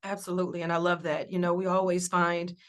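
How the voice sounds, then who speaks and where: A woman hosting an interview show, chatting in a lively way, laughing often and acting out what people might say. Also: lowish voice, quick pace. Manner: animated, laughs often, acts out quotes. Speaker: talk show host.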